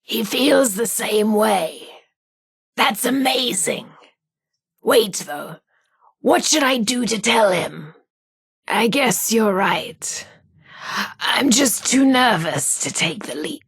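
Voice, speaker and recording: An actress with a husky, breathy voice, playing a shy but sassy, lovestruck radio DJ in a video game. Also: female voice, fairly high pitch, animated, slow, pauses often, a bit bright, dry recording, full character voice. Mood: nervous, hopeful. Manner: informal, slangy, hesitant about feelings. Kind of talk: video game character dialogue.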